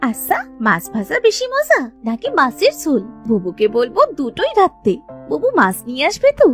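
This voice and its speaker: A woman plays a headstrong young village girl in a cartoon fairy tale. Her voice is extremely high and swings widely in pitch, with music underneath. She sounds childlike, pleading and insistent about what she wants. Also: wildly swooping pitch, a little fast, fairly bright tone, heavily acted. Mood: eager. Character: stubborn, food-loving younger village sister, a girl. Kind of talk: animated moral story voice acting.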